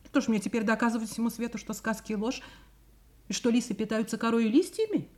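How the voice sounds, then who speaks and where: An actress playing a sly, proud trickster fox in a fairy-tale audio play, theatrical and ironic. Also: female voice, a little high, quick pace, a little mellow, close to an everyday voice. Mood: mock repentant, defiant.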